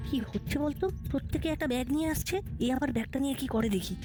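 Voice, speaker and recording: Lady doing a high voiced kid sidekick, cartoon, talks super fast, eager. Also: over music, natural voice. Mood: puzzled, keen. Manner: eager, inquisitive. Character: keen young boy, loyal cartoon sidekick.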